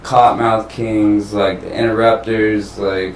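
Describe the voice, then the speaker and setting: A man in his twenties from Southern California, chatting casually as an interview guest in a relaxed, slangy way with a lot of swearing. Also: fairly low pitch, measured pace. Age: 20s. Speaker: rapper guest. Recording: echoey room, mic at a distance, faint hiss.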